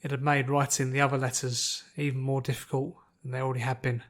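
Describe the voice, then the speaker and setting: A man reading scripted fiction as an audiobook narrator, in a measured, dramatic way. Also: medium pitch, little pitch movement.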